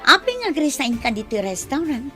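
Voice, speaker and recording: High-voiced aggrieved young Ilocano mother. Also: big pitch swings, moderate pace, over music. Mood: wistful. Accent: Ilocano Filipino. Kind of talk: radio drama acting.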